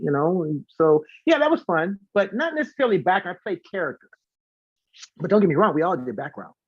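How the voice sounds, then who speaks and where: An American man, an interview guest and singer, telling casual anecdotes in an animated way and acting out the dialogue. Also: medium pitch, breathy edge. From St. Louis, United States. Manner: animated, acts out quoted dialogue. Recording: echoey, dull lo-fi audio.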